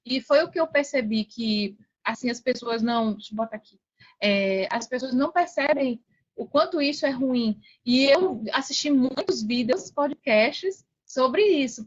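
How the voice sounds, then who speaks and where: A woman's voice: high-pitched, muffled recording. A woman with a Brazilian accent telling personal stories casually as a podcast guest, repeating key phrases for emphasis.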